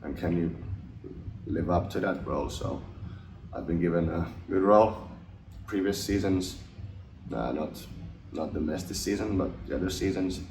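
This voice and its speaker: A young man from Finland, a hockey forward, answering interview questions in a relaxed, hesitant way with many fillers and restarts. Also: low voice, normal speed. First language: English and Finnish. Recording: roomy, distant mic, noisy.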